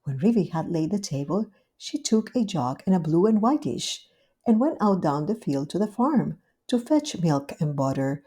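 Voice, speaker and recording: A woman reading a children's storybook aloud in a gentle, expressive way. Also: on the low side, normal speed, slightly rough. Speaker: storybook reader. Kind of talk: scripted narration of a children's story.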